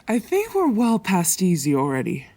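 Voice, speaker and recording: A woman's voice: medium pitch, wildly swooping pitch, normal speed, mellow, no echo. A woman voicing a weary, sardonic predator animal, cautious and dry, in a dramatic comic dub. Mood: exasperated. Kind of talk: dramatic comic fandub acting.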